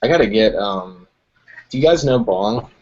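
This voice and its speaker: A man's voice: lowish voice, animated, moderate pace, a little mellow. A man in his early twenties chatting casually about music, laid-back and profane, laughing often. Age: 20s. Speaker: music producer guest. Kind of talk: casual podcast chat. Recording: dull lo-fi stream audio.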